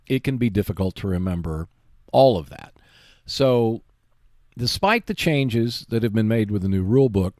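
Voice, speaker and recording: A man hosting a hobby show, giving explanatory, semi-scripted commentary in a measured, emphatic way. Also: medium pitch, a little mellow, no echo, clean studio sound. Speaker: host of a board game video show.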